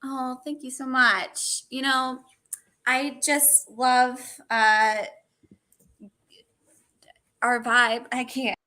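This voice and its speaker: A woman competing in a pun contest, talking in a slow, drawn-out, sweet way over a video call. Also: high voice, level tone, sharp tone. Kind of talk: pun banter.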